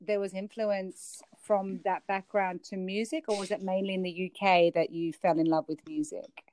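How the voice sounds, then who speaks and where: A woman's voice: medium pitch, level tone, moderate pace, slight background noise. A woman from Australia with an Australian accent, hosting a casual interview and encouraging her guest. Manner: warm encouraging listener, frequent mm-hmms. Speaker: interview host.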